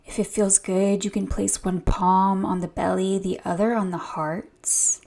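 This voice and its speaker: A woman yoga instructor leading a gentle guided meditation in a soothing, hushed, unhurried way, with a soft voice that turns breathy at times. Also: mid-range voice, fairly even intonation. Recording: soft music behind the voice. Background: music.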